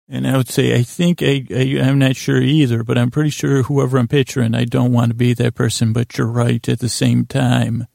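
A man with a creaky voice hosting a bedtime podcast, rambling in a halting way with long pauses and circling digressions. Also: mid-range voice, little pitch movement, darkish tone, dry recording, clean studio sound. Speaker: podcast host. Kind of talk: rambling, meandering bedtime monologue.

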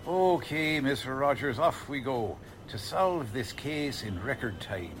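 An actor playing a gruff, bossy police sergeant who talks in rough working-class grammar, in an audio drama. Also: male voice, mid-range voice, animated, a little slow, slight background noise, natural voice. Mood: cheery. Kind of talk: amateur audio drama acting.

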